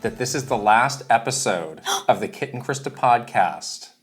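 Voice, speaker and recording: A woman's voice: very deep voice, animated, normal speed, soft background music. A woman co-hosting a gaming podcast in casual chat, playful and teasing with dramatic asides. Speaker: podcast co-host.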